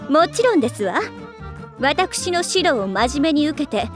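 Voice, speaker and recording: A woman's voice: high-pitched, big pitch swings, moderate pace, over music. A woman voicing a haughty, strict teenage rich girl with prim, formal, self-satisfied speech in an anime. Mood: stern, prim. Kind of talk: anime voice acting.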